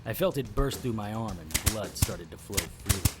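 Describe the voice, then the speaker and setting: Low-voiced, hard-boiled monster hunter, played by a man, over music. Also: lively intonation, normal speed, close to an everyday voice. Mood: tense narration. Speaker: lead actor narrating in first person. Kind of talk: audio drama narration, noir-style. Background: music bed under the voice.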